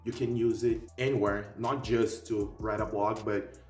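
A man's voice: on the low side, little pitch movement, unhurried, a little mellow. A young man, a native Portuguese speaker with a Portuguese accent, giving a casual, emphatic explainer as a video host. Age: young adult. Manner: emphatic, often tags sentences with "okay?". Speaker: YouTube host. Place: living room at home, indoors. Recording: roomy echo, distant mic, faint music.

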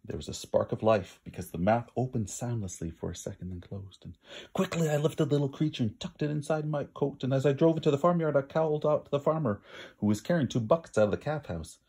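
A man's voice: mid-range voice, quick pace, a little mellow, husky. A man reads a picture book aloud in a theatrical way, drawing out words for emphasis. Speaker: storybook reader.

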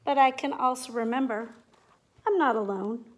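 A middle-aged woman teacher speaking in simple, clear phrases to young children, as in a read-aloud or classroom demonstration. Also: fairly high pitch, normal speed. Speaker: teacher making videos for pupils. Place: indoors at home, living room and kitchen. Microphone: device mic at about arm's length.

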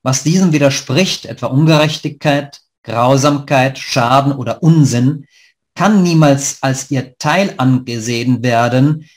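A man giving a prepared online lecture, reading in a measured, formal way over a webcam. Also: medium pitch. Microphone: webcam on a video call.

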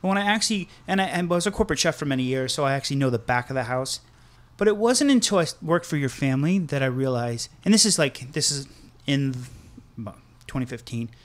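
A man's voice: mid-range voice, lively intonation, fast talker. A man co-hosting a podcast, a former chef, talking enthusiastically in a casual chat. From United States, Connecticut. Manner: enthusiastic, self-described people person.

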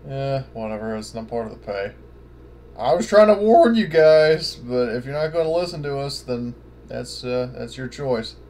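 A man with a middling pitch plays a tabletop game over a voice call. He talks casually, often in character, with faint noise behind him. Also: lively intonation, moderate pace, warm tone. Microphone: online voice call.

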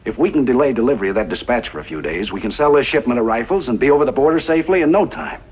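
A bossy crook boss, husky n animated, muffled 50s radio western. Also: male voice, mid-range voice, very fast. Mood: calculating. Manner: bossy, calculating. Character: scheming, commanding male gunrunner gang boss. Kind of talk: 1950s radio western acting. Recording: dull 1950s radio recording.

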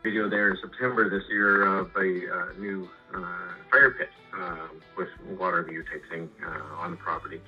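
A middle-aged Canadian man chatting casually and haltingly about his projects over a muffled phone call, rambling and repeating words. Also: sits low, level tone, slightly rough. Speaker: homeowner and sawmill customer. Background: music.

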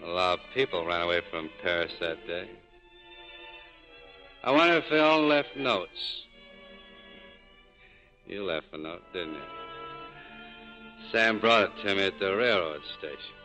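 Low-voiced, bitter American cafe owner. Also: male voice, expressive, unhurried, pauses often, pushed and bright, highs cut off, full character voice. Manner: sardonic, clipped, world-weary. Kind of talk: 1940s radio drama acting. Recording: 1940s radio broadcast, faint music.

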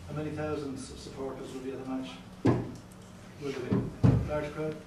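A man's voice: mid-range voice, little pitch movement, a little fast. A man puts questions as a reporter at a press conference. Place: echoey indoor press conference room. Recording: very echoey room, distant mic, clear noise.

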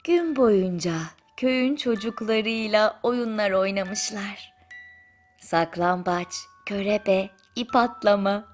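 A woman narrating a bedtime story in a soothing, gentle manner with drawn-out pauses. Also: on the high side. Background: music.

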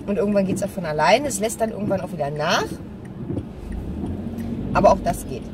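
A woman's voice: medium pitch, a little fast. A woman chats casually and frankly to camera while driving, rambling and thinking aloud. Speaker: vlogger. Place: inside a moving car. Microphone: camera on the dashboard. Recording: loud car road noise, distant mic. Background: engine and road noise.

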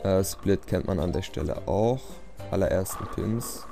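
A man's voice: sits low, measured pace, gravelly. A man giving casual, self-deprecating gameplay commentary in a resigned, rambling way. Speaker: let's play commentator. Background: game music.

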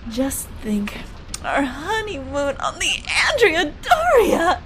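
A high, lively voice of a young newlywed wife, acted by a woman, chirpy and gushing in a period radio play. There is clear noise behind her on the recording. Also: slow pace, full character voice. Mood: excited. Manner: gushing, dramatic. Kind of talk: amateur radio play acting, 1950s period drama.

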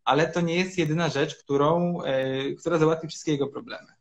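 A man in his thirties, a urologist guest, explaining treatments in measured sentences with frequent hesitations over a video call from a room at home. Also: on the high side, fairly even intonation, gravelly. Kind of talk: explanatory interview answers.

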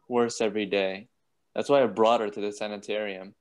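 A man playing a courteous, troubled gentleman doctor with polite, formal speech in a radio play. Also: fairly low pitch, smooth, close to an everyday voice.

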